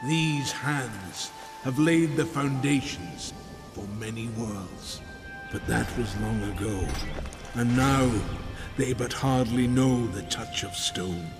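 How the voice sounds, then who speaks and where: An actor playing an ancient, weary giant craftsman in a video game, speaking gravely and portentously like a wise old man. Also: medium pitch, takes it slowly, over music. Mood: wise, solemn. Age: older. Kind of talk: video game cutscene acting.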